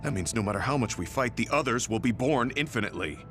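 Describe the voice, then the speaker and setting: A man's voice: mid-range voice, animated, quick pace, fairly bright tone. A man playing a serious, analytical young soldier in an English anime game dub. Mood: grave. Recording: music behind the voice.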